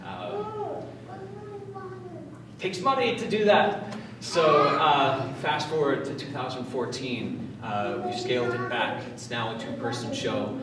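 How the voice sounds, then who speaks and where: A man giving an informal public talk as a playwright, hesitant and pausing between short phrases. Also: mid-range voice. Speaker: playwright introducing his play. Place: large reverberant room at a live event. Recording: very echoey room, distant mic, noisy.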